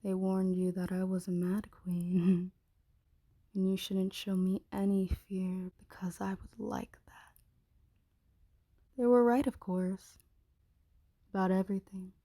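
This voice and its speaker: A woman playing a menacing, possessive dragon shifter queen in an ASMR roleplay, commanding and often slipping into breathy whispers. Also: mid-range voice, little pitch movement, slow pace, long pauses, dark tone, no echo, studio quality. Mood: taunting, threatening.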